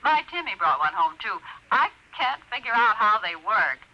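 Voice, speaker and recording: A woman's voice: medium pitch, sing-song, moderate pace. An American actress playing a harried, chatty mother heard through a call filter in a 1950s radio drama. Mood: wry, puzzled. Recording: dull, faintly noisy old radio recording.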